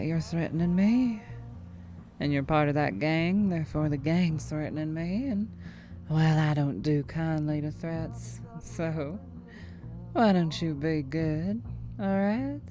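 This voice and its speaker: A woman's voice: deep voice, mellow, faint music behind. A woman voicing a teasing, menacing, sweet-talking vampire trucker with a Southern US accent, in an intimate ASMR roleplay. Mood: playful menace. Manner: slow, teasing drawl with pet names and chuckles.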